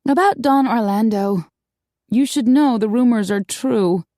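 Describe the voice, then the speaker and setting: Audiobook casting: woman performer as caring, apologetic female friend, slightly high voice, earnest, dry sound. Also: measured pace, dark tone, dry recording, close to an everyday voice. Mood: apologetic, worried. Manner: earnest, sympathetic. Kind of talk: audiobook character acting.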